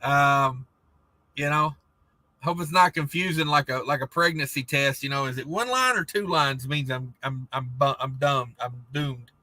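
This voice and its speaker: Laid-back trucking host, bright dry voice. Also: male voice, medium pitch, normal speed, no room sound. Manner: rambling, laid-back, many fillers and restarts. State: losing his voice. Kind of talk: casual live chat reading viewer comments.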